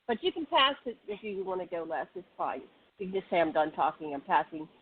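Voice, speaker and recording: A woman host moderating a group video call, brisk and organizing as she keeps time for each speaker. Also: lowish voice, sing-song. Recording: tinny call audio with faint hiss.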